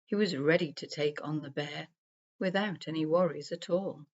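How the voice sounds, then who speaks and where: A woman storyteller reading a rhyming children's story aloud in a measured, singsong rhythm. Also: low-pitched, a little mellow, muffled recording.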